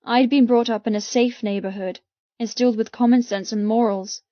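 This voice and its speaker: Casting: female narrator for dramatic first-person fiction, on the higher side, recorded dry with slightly dull tone. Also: steady tone, moderate pace, dry recording. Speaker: audiobook narrator.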